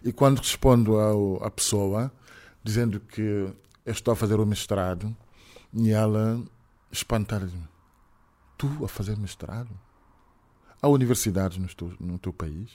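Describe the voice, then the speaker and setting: A middle-aged man from Senegal speaks with a French-tinged Senegalese accent. His voice sits fairly low. He is being interviewed and talks in a measured, reflective way, pausing and hesitating often. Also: fairly even intonation, dark tone. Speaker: guest. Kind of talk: interview conversation.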